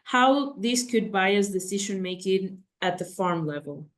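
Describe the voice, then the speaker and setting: A woman's voice: mid-range voice, lively intonation, unhurried. A woman moderating a webinar, reading out audience questions steadily with brief acknowledgements.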